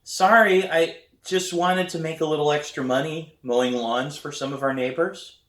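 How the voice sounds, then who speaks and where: Guy doing a whiny kid in a storybook, voice pushed up high, pleading and defensive, echoey room. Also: steady tone, moderate pace, fairly bright tone, close to an everyday voice. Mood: nervous, pleading. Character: eager, exasperated preteen kid who loves drumming. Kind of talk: acted dialogue in a children's book reading. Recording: roomy echo.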